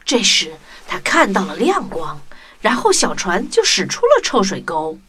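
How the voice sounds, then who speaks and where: A woman hosting and narrating a children's audio story in an expressive scripted style, speaking directly to young listeners. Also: mid-range voice, a little slow, faint music behind. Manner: addresses children directly, animated.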